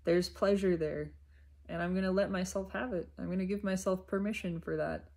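A woman giving an unscripted, reflective spiritual monologue in short, halting, repeated phrases, with a husky, creaky voice. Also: fairly low pitch, fairly even intonation, a little mellow. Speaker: self-reflective spiritual vlogger.

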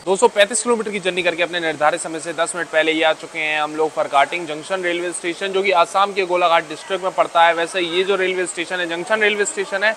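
A man's voice: sits high, steady tone, quick pace, few pauses, sharp tone, a little hiss or hum. A man vlogging a train journey, chatting casually with his viewers and explaining stations and routes as he travels. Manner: chatty, addresses viewers as bhai. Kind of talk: casual vlog narration. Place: aboard a moving train and on station platforms.

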